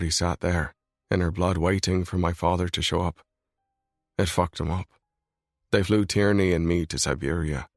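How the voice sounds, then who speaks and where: A man voicing a brooding, controlled mafia boss in a scripted audiobook reading, low and grave. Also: dry recording, clean studio sound. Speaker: male lead voice in audiobook.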